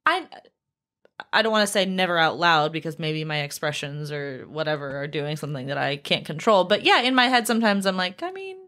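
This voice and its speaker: A woman's voice: sits low, a little fast, bright, forceful tone. A woman hosting a casual podcast, chatty and a bit self-conscious. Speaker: podcast host.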